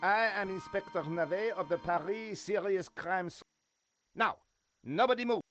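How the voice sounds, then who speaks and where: Self-important Paris inspector for a game, officious and commanding, pitched well up high. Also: male voice, unhurried, fairly bright tone, highs cut off, soft background music. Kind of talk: video game voice acting.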